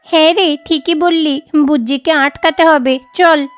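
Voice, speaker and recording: A woman's voice: high voice, steady tone, unhurried, faint music behind. A woman voicing a spiteful, sly young schoolmate bird in a children's cartoon. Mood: envious, scheming. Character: spiteful, scheming young schoolmate birds, crow and parrot.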